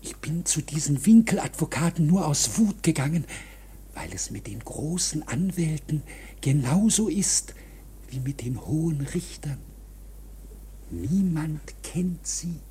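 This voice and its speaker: A man's voice: a little high, expressive, unhurried, dark tone, slightly rough, exaggerated voice. A man playing a timid, obsessive litigant in a radio play, furtive and pleading, often dropping to whispers. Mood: confiding, haunted. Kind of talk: German radio play acting.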